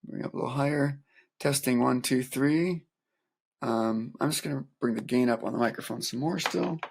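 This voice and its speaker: A man teaching music, calmly talking through a software tutorial step by step with a soft voice. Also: mid-range voice, moderate pace, vocal fry, slight background noise. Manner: calm step-by-step explaining, counting aloud. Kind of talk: screen-recorded software tutorial.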